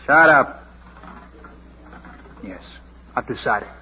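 An actor in a vintage radio drama playing a proud, bossy but tender street washer who speaks emphatically. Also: male voice, mid-range voice, expressive, extremely slow, heavily acted. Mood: gruff, decisive. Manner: emphatic, declamatory, commanding. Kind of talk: 1940s radio drama acting. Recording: husky, dull old radio drama recording.

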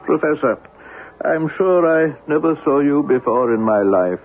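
A man's voice: fairly high pitch, lively intonation, measured pace, slight background noise. A man playing a curt, formal, irritated stranger at dinner in a 1970s radio drama. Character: curt, irritated male restaurant diner, a stranger. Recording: dull lo-fi 1970s radio recording, faint music.

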